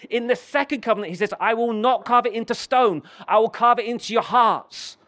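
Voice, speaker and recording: Man, high voice, sermon storytelling, restarts and repeats, then forceful declarations. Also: a little fast. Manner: rambling storytelling with frequent restarts, building to emphatic declarations. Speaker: preacher.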